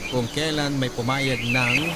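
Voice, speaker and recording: A man's voice: medium pitch, fairly even intonation, unhurried, close to an everyday voice. A man voicing a young prostitute who recounts a troubled past as a confession in dramatized radio storytelling. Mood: uneasy, regretful. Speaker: narrator reading a letter sender's confession. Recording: loud music under the voice. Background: loud music.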